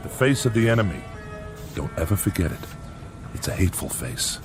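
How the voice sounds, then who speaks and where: An actor in a radio drama playing a principled, embittered male plant manager, speaking gravely and earnestly. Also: fairly low pitch, very animated, brisk, over music. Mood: bitter.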